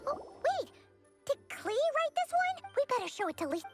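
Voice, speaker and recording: A woman voicing a tiny, childlike, eager fairy companion in a video game, squeaky and perky. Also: sing-song, moderate pace, exaggerated voice. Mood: perky, curious. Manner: perky, exclaiming. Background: game music.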